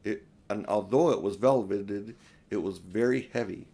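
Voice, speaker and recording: A man's voice: on the low side, normal speed, vocal fry, warm tone, husky. An older man reading a children's storybook aloud in an informal, unpolished way. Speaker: audiobook reader.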